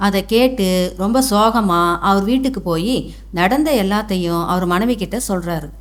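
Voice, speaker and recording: A woman's voice: medium pitch, normal speed. A woman giving scripted storytelling narration for a moral tale.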